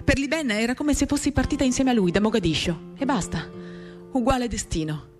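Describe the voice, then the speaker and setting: A woman giving an intimate, measured dramatic reading of a literary text, pausing between short phrases. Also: a little high, darkish tone. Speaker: reader of a novel. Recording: guitar music under the voice. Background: acoustic guitar.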